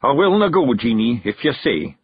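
A man voicing a tiny fairy clan chief with a Scottish accent, brooding, proud and gruff, in a storybook. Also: medium pitch, big pitch swings, moderate pace, dull audio, voice pushed up. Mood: resigned. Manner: gruff, dramatic, stubborn.

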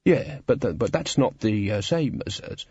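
A man's voice: mid-range voice, expressive, moderate pace, a little mellow, slightly rough, no room sound, lo-fi sound. A man voicing a timid, reluctant henchman in a storybook reading, with a heavy stammer and hesitant, polite delivery. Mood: anxious, protesting.

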